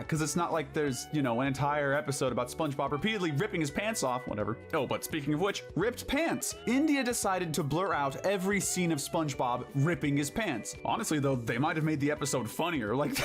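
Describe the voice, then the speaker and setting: A man narrating a scripted countdown video, with wry, sarcastic and mock-dramatic asides. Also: fairly high pitch.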